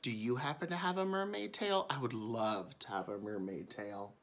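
A man's voice: mid-range voice, moderate pace, a bit bright, a little hiss or hum. A man, a drag queen, reading a picture book aloud expressively for children, with chatty asides. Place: indoors before a curtain.

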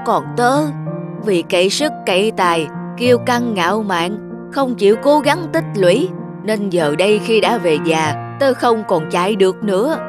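A woman's voice: mid-range voice, animated, normal speed, over music, close to an everyday voice. A woman voicing a proud, conceited thoroughbred horse with a haughty, brooding manner in a cartoon fable. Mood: regretful. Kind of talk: dubbed cartoon fable acting.

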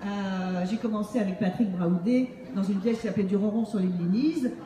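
A woman's voice: medium pitch, a little fast, mellow. A middle-aged woman presenting her book to an audience in a humorous storytelling style, speaking into a stage microphone. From Montreal, Canada. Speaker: author and acting-based speaking trainer. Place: on stage in a large book fair hall. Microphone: handheld microphone through PA, recorded by distant camera. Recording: echoey hall from far off, faint noise.

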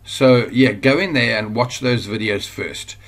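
Casting: South African man, mid-pitched, off-the-cuff screen-recorded tutorial, chatty and rambling. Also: a little slow. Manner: rambling, informal, many fillers and restarts. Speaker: online course instructor. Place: at a computer, voice-over screen recording.

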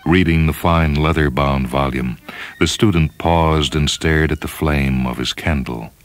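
A man narrating a story in a dramatic, measured, grave manner. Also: rumbling low. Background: music.

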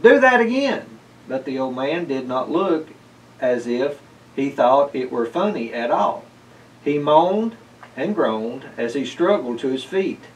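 A man's voice: mid-range voice, lively intonation, a little slow, darkish tone, recorded from a distance, low-quality recording. A middle-aged man narrating a children's storybook aloud in a library room. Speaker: library board chair reading aloud. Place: indoors, library room with bookshelves.

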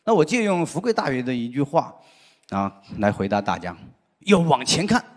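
Husky-voiced man doing stand-up. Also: medium pitch, normal speed. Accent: Sichuan. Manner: lively storytelling with frequent "啊" fillers. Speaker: stand-up comedian. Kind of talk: stand-up routine. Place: large indoor studio stage with audience. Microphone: handheld mic. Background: audience laughter.

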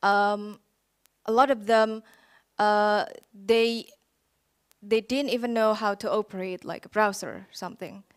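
A woman's voice: medium pitch, steady tone, unhurried, clear, resonant tone. A woman on a conference panel, speaking hesitantly with many fillers.

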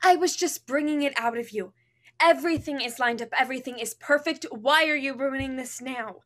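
A woman's voice: high-pitched, brisk, a bit bright. A young actress playing a controlling, bitter mother, insistent and commanding, in a staged reading over video call. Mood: forceful, indignant. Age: young adult. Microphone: video call at desk distance.

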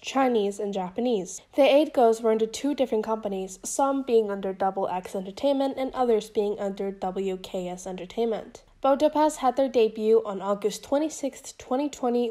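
A woman's voice: fairly high pitch, warm tone. A young woman YouTuber narrating a scripted commentary in a casual, chatty way, her voice still a bit off from being sick.

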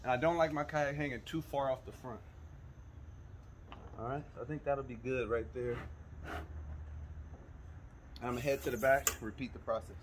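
A man's voice: medium pitch, normal speed, pauses often, a little hiss or hum. A young man hosting a fishing channel, casually walking viewers through a hands-on demonstration outdoors in a suburban driveway. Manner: relaxed, instructive, addresses viewers directly. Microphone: camera mic, moving toward and away.

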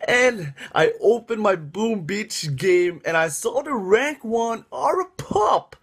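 A young man giving excited gameplay commentary from home, calling out to his viewers, his voice going hoarse. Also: sits high, slow pace. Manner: upbeat, calls out to viewers a lot. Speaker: mobile game youtuber. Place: indoors at home.

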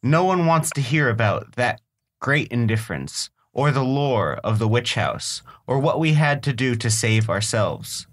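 A man with a slight sniffle, chatting and then reading a poem in a calm, measured way. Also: mid-range voice. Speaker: panel member reading a poem. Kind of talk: casual chat and poetry reading.

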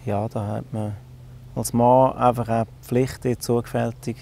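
A man in his thirties, originally from Italy, with a Swiss German accent, speaking slowly and thoughtfully in an outdoor interview. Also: medium pitch, level tone, vocal fry, slight background noise. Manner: slow, thoughtful, quiet. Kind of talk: personal interview. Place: outdoors on a bench. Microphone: lapel.

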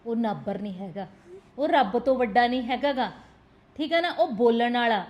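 An actress plays a fierce young sister-in-law who scolds and pushes. She talks in an insistent, no-nonsense way. Her voice sits a little high. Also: normal speed, darkish tone, lightly acted. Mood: fierce urging. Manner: scolding, insistent, repeats demands for attention. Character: firm protective sister-in-law, blunt and fierce. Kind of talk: village family drama acting.

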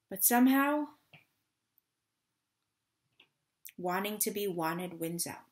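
A woman's voice: a little high, lightly acted. A woman in her thirties playing a wistful, restless mother in a dramatic third-person monologue reading. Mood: yearning. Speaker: actor in a staged reading. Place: small room at home.

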